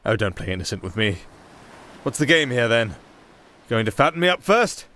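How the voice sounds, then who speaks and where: A man's voice: medium pitch, very animated, moderate pace, bright, forceful tone. A man acting a nervous, rambling young castaway musician with a sardonic streak, in a fantasy ASMR roleplay. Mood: wary, sardonic.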